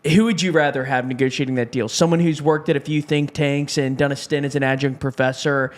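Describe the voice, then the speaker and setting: A man's voice: on the high side, steady tone, a little fast. A man hosting a casual news discussion show, asking his co-host questions and adding wry asides.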